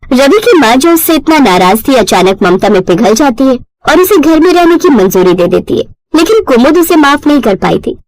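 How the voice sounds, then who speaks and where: A woman narrates a story from a script. Her voice sits fairly high, and she reads as a storyteller. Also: big pitch swings, normal speed, darkish tone, dry recording.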